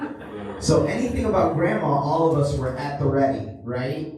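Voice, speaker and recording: A man's voice: fairly high pitch, big pitch swings, moderate pace, background noise. A man doing a stand-up routine in a small theater, telling a long story in a loose, rambling way. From Cuban family. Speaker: stand-up comedian. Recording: echoey room from far off.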